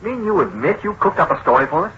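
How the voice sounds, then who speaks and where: An actor playing a cynical, pushy young reporter in a 1940s radio drama, curt and sardonic. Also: male voice, high voice, sing-song, quick pace, breathy edge, exaggerated voice. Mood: defiant, scornful. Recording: muffled, noisy 1940s radio recording.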